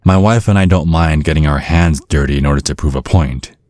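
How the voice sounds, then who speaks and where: A man's voice: very deep voice, fairly quick, darkish tone, dry recording. A man playing a centuries-old vampire father in an ASMR roleplay, protective and slyly polite, teasing with veiled threats. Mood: veiled menace. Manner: mock-courteous, insinuating, teasing with veiled threats.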